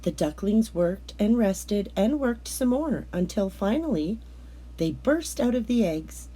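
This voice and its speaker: Husky, mellow woman reading a storybook. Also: mid-range voice, expressive, unhurried, rough recording. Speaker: children's storybook reader. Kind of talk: scripted read-aloud narration of a picture book.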